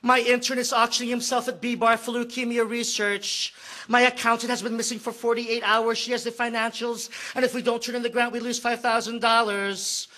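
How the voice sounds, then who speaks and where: A man in his thirties performs spoken word poetry from a stand mic on a theater stage. His voice sits high and sounds bright and forceful. He shifts from a frantic comic rant to a hushed, lyrical lament. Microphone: stand mic, far camera.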